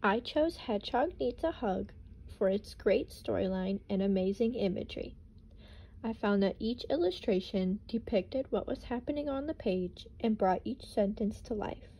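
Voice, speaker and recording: A woman's voice: medium pitch, mellow, slightly rough. A young woman reading a children's picture book aloud and explaining vocabulary words. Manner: slow and explanatory. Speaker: student teacher.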